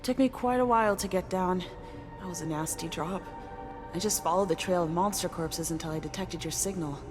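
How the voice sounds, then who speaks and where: Actress as a concerned woman crewmate, lowish voice. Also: fairly quick, music in the background, lightly acted. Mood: warm relief. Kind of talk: video game cutscene acting.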